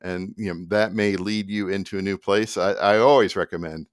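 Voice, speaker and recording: Deep-voiced middle-aged man, casual and rambling. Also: fairly even intonation, no room sound, clean studio sound. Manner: informal, digressive, halting. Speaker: musician and vlogger. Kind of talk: casual rambling explainer to camera. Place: small indoor room at a desk.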